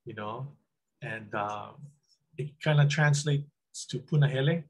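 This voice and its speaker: Middle-aged guy on a webcam call, kinda husky, lots of ums and restarts. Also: mid-range voice, unhurried. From Hawaii. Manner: rambling, many "um"s and restarts. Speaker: guest speaker. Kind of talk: casual webinar talk. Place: small room indoors. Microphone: webcam on video call.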